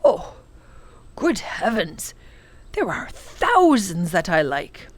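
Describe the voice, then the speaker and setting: Woman reading as an animated, kindly old professor. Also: medium pitch, takes it slowly, dry recording, full character voice. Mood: instructive. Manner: enthusiastic, exclaiming, savours long words. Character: kindly, learned, playful elderly male professor. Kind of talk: children's storybook character reading.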